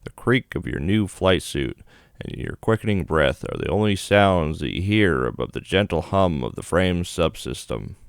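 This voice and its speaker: Very deep-voiced man narrating a game. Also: lively intonation, normal speed, vocal fry, no echo, clean studio sound. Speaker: gaming video host. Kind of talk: reading visual novel narration aloud.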